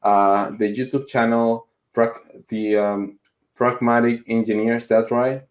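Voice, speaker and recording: A man's voice: medium pitch, little pitch movement, takes it slowly. A young man hosting a casual interview over a video call, hesitant and full of fillers. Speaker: host. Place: small room at home.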